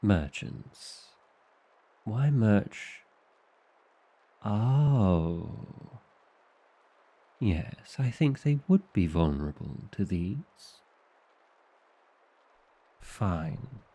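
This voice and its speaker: A man's voice: lowish voice, very animated, very slow, long pauses, dark tone, breathy edge, full character voice. A man playing a fussy, exasperated vampire librarian in an ASMR roleplay, with an indignant, officious and dryly comic delivery. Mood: weary, grudging.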